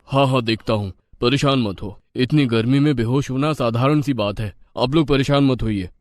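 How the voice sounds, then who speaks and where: A man voicing a serious village doctor in a cartoon story, with a measured, authoritative manner. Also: medium pitch, close to an everyday voice. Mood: reassuring. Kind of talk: cartoon moral story acting.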